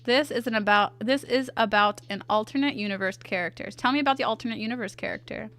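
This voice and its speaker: A woman with a stuffy nose, chatting casually with her viewers in quick asides while she draws on stream. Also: fairly high pitch, a bit bright. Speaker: art streamer. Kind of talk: casual chat while drawing.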